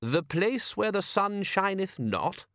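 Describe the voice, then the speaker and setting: Aristocratic ruler for comic fantasy audiobook voiced by a man: composed, measured, dry wit, very dry recording. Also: sits high, no echo, voice pushed up, heavily acted. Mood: composed, courteous. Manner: measured, formal, deadpan. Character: calm, dryly witty, aristocratic male city ruler.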